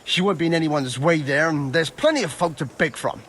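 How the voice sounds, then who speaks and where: A man's voice: fairly high pitch, a little fast, fairly bright tone, a little hiss or hum, natural voice. A man voicing a scornful, grumbling medieval armourer in a video game, irritable and indignant. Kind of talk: dramatic game cutscene dialogue.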